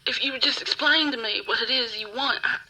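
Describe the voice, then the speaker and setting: Actress doing a scared captive girl, high and very bright tense voice, stammering, pleading, asmr roleplay. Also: a little fast, exaggerated voice, rough recording. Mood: frightened, bewildered. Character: frightened, defiant young woman, pleading captive rival.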